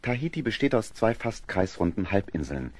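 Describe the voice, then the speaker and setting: An actor as the sober narrator of a sea adventure radio play, giving measured, scripted storytelling. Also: male voice, lowish voice, very animated, darkish tone.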